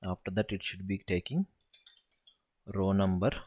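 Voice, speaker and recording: A man with a lowish voice talks through a coding problem while he types. He thinks aloud and counts each step out slowly. It sounds like a desktop mic picking up a screen recording. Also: breathy edge, dull audio. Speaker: SQL tutor.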